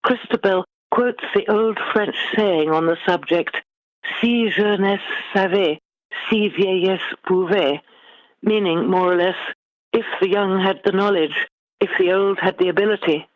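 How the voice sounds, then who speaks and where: A middle-aged woman guest author speaking in measured, formal, articulate phrases in a literary interview. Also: mid-range voice, no echo. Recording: dull, lo-fi band-limited sound.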